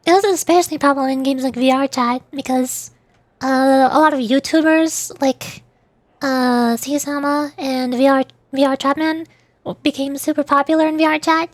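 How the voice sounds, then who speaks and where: A woman's voice: high voice, no room sound. A young woman streaming as a VTuber, chatting casually and rambling along with fillers and restarts. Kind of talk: casual chat on a livestream.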